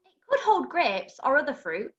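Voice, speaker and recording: A woman's voice: high-pitched, very animated, moderate pace, fairly bright tone. A woman playing a haughty, curious young princess in rhyming pantomime, on a lo-fi recording. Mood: curious, surprised. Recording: dull lo-fi sound.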